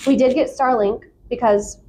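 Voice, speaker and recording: A woman's voice: fairly high pitch, steady tone, moderate pace, a little mellow, a little hiss or hum. A woman chatting casually and enthusiastically as an interview guest, in an informal way. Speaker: podcast guest, content creator.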